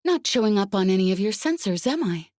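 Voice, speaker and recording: A woman's voice: medium pitch, very animated, fairly quick, darkish tone, dry recording, studio quality, voice pushed up, natural voice. Woman acting a cocky, drawling female starship pilot. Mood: cocky, taunting. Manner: drawling, taunting, swearing. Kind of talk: audiobook character acting.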